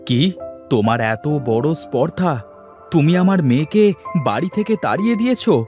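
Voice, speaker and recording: Animated, protective father, acted by a man. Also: a little high, moderate pace, faint music behind. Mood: angry, worried. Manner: emphatic, reproachful. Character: indignant, protective father of a missing daughter. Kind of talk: cartoon dialogue acting.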